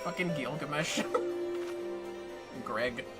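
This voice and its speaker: A man's voice: medium pitch, very slow. A man livestreaming a video game, chatting casually with his play partner over game music. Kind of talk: casual co-op gaming chat.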